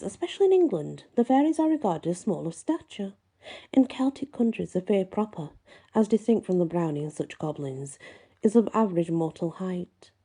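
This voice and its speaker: A woman host reading folklore aloud and musing on it, with deliberate, emphatic phrasing. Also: medium pitch, mellow, husky. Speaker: host and reader. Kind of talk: reading a folklore book aloud with casual asides.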